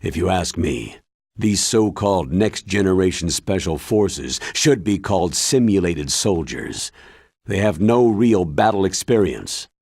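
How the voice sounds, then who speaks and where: A deep, grave military commander. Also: male voice, animated, darkish tone, husky, dry recording, studio quality, full character voice. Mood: dismissive, briefing. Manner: deliberate, emphatic. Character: grave, authoritative older male military commander giving briefings. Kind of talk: video game cutscene acting.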